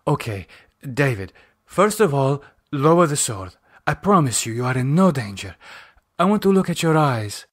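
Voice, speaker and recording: A man voicing a calm, concerned woman in a horror audiobook, gently and patiently reasoning with a madman. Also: medium pitch, moderate pace. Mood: reassuring, firm. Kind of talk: audiobook character voice.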